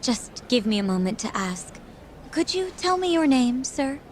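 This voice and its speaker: A woman's voice: sits high, sing-song, measured pace, a little hiss or hum. A woman acting a polite, helpful receptionist in an English video game dub. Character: courteous, helpful female receptionist. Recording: faint background ambience.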